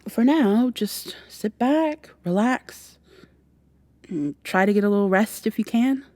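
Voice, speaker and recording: Actress as earnest female time traveler for ASMR drama: mellow, close and dry, protective, slightly flustered and long-winded. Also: medium pitch, expressive, takes it slowly, dry recording. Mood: earnest, explaining. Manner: hesitant, rambling, gently reassuring, some whispering. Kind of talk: ASMR roleplay, softly acted monologue to the listener. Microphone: close binaural microphone.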